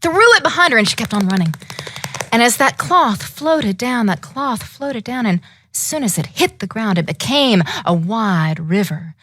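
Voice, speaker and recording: A woman's voice: a little high, lively intonation, moderate pace, pushed and bright, dry recording. A woman telling a fairytale live, in a dramatic, emphatic way, drawing her listeners in as she goes. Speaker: storyteller.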